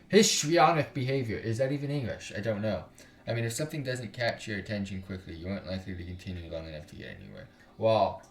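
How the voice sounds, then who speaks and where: Low-voiced man streaming in a roomy space. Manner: blunt, casual, slangy. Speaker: game streamer, host. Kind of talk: let's play commentary mixed with reading game text aloud.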